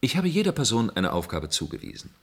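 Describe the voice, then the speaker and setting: A man's voice: on the low side, wildly swooping pitch, fast talker, gravelly, breathy edge, heavily acted. An actor playing a dutiful, formal control engineer who reports to his superior in a German science-fiction radio play. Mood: dutiful reporting. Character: deferential male control engineer serving a dystopian bureaucracy.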